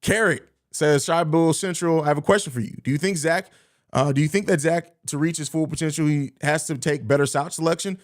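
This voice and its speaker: Guy hosting a live sports stream, reads chat comments and riffs on them, kinda higher voice. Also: fairly quick. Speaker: sports podcast host.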